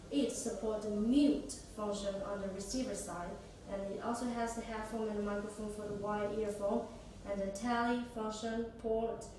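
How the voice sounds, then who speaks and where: A young woman demonstrates a product step by step, speaking in halting phrasing. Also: medium pitch, a little mellow. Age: young adult. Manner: halting non-native English phrasing. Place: small indoor office or meeting room. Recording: echoey room, distant mic, noisy background.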